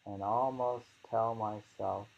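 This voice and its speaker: A man reading poems aloud in a slow, halting, musing way, repeating lines and adding informal, rambling commentary, on a dull lo-fi recording. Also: fairly low pitch, fairly even intonation, creaky. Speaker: reader and commentator of poetry.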